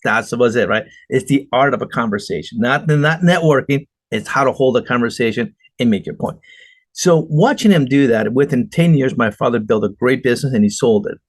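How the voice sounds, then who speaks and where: A man's voice: mid-range voice, fairly quick, no echo. A middle-aged man from Argentina, a guest entrepreneur telling earnest stories in an interview. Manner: earnest, storytelling, emphatic. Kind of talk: conversational interview answers.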